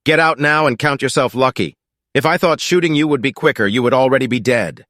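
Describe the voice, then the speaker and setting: A man voicing a tense, commanding security operative who is trying to keep people calm in an audiobook story. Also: medium pitch, fairly quick, dry recording, clean studio sound, pitched above the performer's own voice, natural voice. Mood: curt, threatening. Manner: urgent, commanding. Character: tense male security operative, gruff, urgent, forcing calm.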